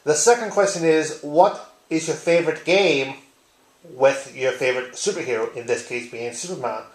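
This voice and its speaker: A man talks casually to his viewers in an echoey living room. His pitch sits in the middle range, and his manner is informal and blunt, like someone recording a home vlog. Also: moderate pace. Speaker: video blogger. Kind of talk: casual tag-response vlog chat.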